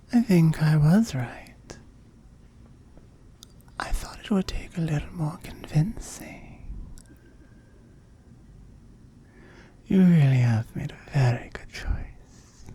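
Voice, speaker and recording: A woman's voice: low voice, warm tone, a little hiss or hum. A woman playing a seductive, possessive immortal oni demon in an ASMR roleplay, often whispering, teasing and commanding.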